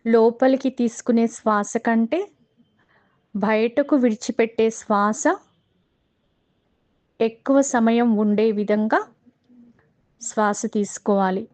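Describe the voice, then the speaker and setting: A woman with a Telugu accent guiding a meditation in a calm, measured way, pausing between short phrases. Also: fairly high pitch. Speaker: meditation guide. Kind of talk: guided meditation instruction.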